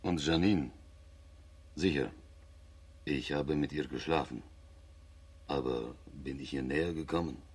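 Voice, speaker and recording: An actor playing a brooding, guilt-ridden astronaut and secret agent, confessing introspectively in a radio play. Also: male voice, a bass voice, husky, full character voice.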